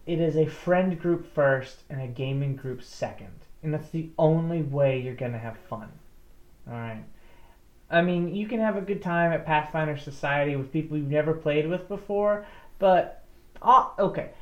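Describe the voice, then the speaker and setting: A woman co-hosting a casual podcast about tabletop games, animated and laughing often as she talks. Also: sits low, normal speed. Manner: laughs often, animated, restarts mid-sentence. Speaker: podcast co-host. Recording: roomy, dull lo-fi sound.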